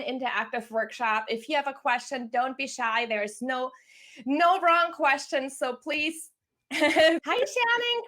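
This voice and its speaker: High-pitched enthusiastic woman hosting a workshop. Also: pushed and bright. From Germany. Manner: enthusiastic, effusive. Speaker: career coach hosting a workshop. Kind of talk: live online workshop, conversational.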